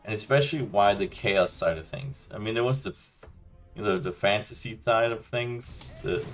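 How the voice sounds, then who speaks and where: Guy ranting casually, low voice, rambly and chill, lots of you know. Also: normal speed, soft background music. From Denmark. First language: Danish. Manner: rambling, loose and chatty, many restarts and "you know". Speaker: gaming channel host. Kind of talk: casual rant over gameplay.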